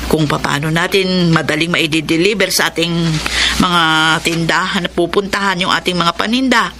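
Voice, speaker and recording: A woman's voice: lowish voice, normal speed, background noise, lightly acted. An actress playing a practical, chatty mother who sells goods, in a Filipino radio drama. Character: Aling Emilia, practical mother selling repacked goods.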